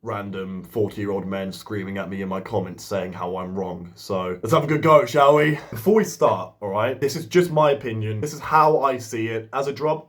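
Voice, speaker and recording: A man's voice: fairly low pitch, normal speed, hardly any pauses. A man of twenty-one, a drummer, rambling casually to camera with lots of swearing and jokes. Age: 20s. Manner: profane, loose and jokey. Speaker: drummer and video host.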